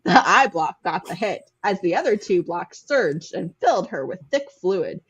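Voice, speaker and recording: A woman's voice: fairly low pitch, animated, normal speed, a bit bright, husky. A woman doing a comic dramatic reading, trying to keep a straight face and sometimes breaking. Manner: straight-faced delivery that breaks into laughter. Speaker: guest reader on a live show.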